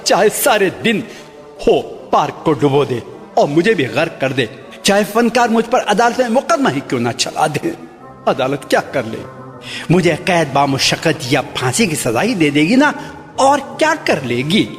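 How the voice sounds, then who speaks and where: An actor playing a despairing, self-pitying theatre owner in a radio drama, lamenting with bitter irony and theatrical delivery. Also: male voice, sits high, big pitch swings, unhurried. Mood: bitter defiance. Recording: archival radio, long reverb, faint music.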